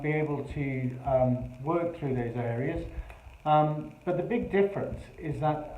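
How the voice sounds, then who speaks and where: A man's voice: mid-range voice, mellow, slight background noise. A man, a Spanish teacher, earnestly explaining a revision workshop in long, rambling sentences with fillers. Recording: echoey room.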